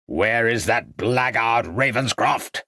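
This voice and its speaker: A man's voice: low-pitched, big pitch swings, normal speed, dry recording, studio quality, heavily acted. A man voicing an angry, blustering doctor in an audiobook, growling and indignant. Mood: furious, accusing. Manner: growling, snarling, shouted outbursts. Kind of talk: audiobook character acting.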